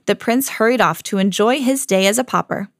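A mother hosting her own podcast, reading a children's storybook aloud with lively jumps for the quoted lines. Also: medium pitch, brisk, no room sound, clean studio sound. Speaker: mom reading to her kids, podcast host.

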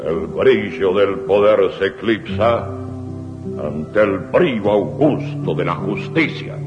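Slow, solemn revolutionary leader in an echoing hall. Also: male voice, sits low, expressive, loud music behind the voice. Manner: grave, oratorical. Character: solemn heroic revolutionary leader proclaiming his ideals. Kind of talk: 1980s radio drama declamation. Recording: dull old radio recording, echoey hall.

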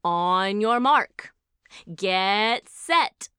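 An actress playing a competitive, breezy and pushy aunt, a former game show star, in an audio drama. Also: female voice, mid-range voice, lively intonation, drawn-out words, pushed and bright, smooth, no room sound, clean studio sound, exaggerated voice.

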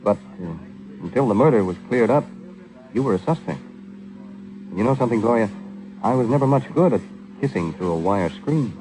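An American actor playing a cool, sardonic, teasing private detective in a vintage 1940s radio drama. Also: male voice, fairly low pitch, lively intonation, normal speed, pauses often, over music, lightly acted, poor audio. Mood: flirtatious. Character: wisecracking, cool-headed male private detective, flirtatious with women.

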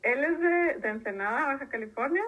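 A woman's voice: sits high, animated, a little fast, narrow, tinny audio. A woman in her thirties with a northern Mexican accent, speaking casually as an interview guest. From northern Mexico, grew up in Tijuana. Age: 30s.

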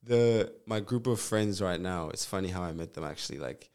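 Deep-voiced young man from Zambia. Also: normal speed. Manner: relaxed, rambling, many fillers and restarts. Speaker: guest, nurse and musician. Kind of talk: casual interview chat.

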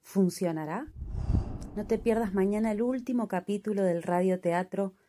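A woman's voice: mid-range voice, moderate pace, dark tone. A woman reading a scripted announcement for a radio drama. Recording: clear background noise, clicks.

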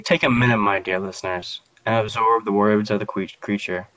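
A man's voice: lowish voice, fairly even intonation, a little fast, a bit bright, faint music behind, rough recording. A man hosting in a formal, theatrical way, reading from a dramatic script. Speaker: host.

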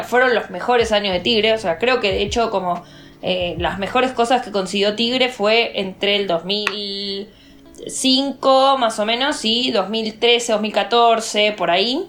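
A woman's voice: mid-range voice, unhurried, a bit bright, soft background music. An Argentine woman co-hosting a casual podcast chat, talking easily with frequent hesitation fillers. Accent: Argentine Spanish. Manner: chatty, lots of hesitation fillers. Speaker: podcast co-host.

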